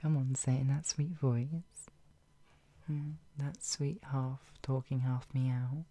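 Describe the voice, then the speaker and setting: An actor performing an intimate roleplay as a doting, playful, teasing lover, murmuring with soft hums, giggly hesitations and drops into whispers. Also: medium pitch, steady tone, takes it slowly. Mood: teasing, adoring. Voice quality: breathy, husky, often dropping into whispers. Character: doting, playful, flustered lover tenderly caring for an ill pet-named partner.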